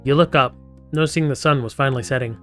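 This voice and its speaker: A man's voice: medium pitch, fast talker, soft background music. A man hosting a gaming live stream, playfully reading story text aloud and breaking off into theatrical, jokey asides.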